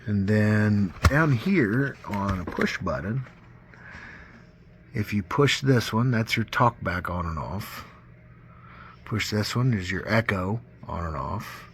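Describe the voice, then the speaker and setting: A man's voice: on the low side, takes it slowly, slight background noise. A man giving a casual, informal hands-on demonstration of gear, pointing things out step by step. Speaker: CB radio enthusiast demonstrating gear. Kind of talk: casual hands-on product demo. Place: indoors at a radio bench.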